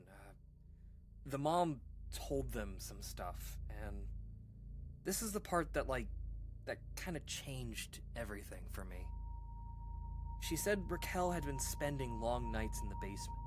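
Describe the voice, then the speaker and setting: A man's voice: medium pitch, noisy background, close to an everyday voice. Actor as shaken man telling a haunting story. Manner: halting, earnest, casual, with dramatic pauses. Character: shaken adult man recounting a haunting childhood memory. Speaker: caller telling a paranormal story on a radio show. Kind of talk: conversational scary storytelling, audio drama monologue.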